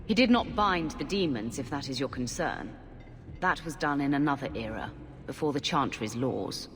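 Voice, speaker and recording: An actress playing a determined, haughty warrior commander in a fantasy game, stern, imperious and insistent. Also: female voice, rumbling low, expressive, moderate pace, slight background noise. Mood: earnest persuasion. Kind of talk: dramatic fantasy game acting. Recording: long stone-hall reverb.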